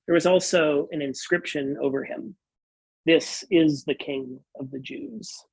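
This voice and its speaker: A man reading scripture as a pastor, in a solemn, measured and deliberate manner. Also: a little high, vocal fry, husky, low-quality recording.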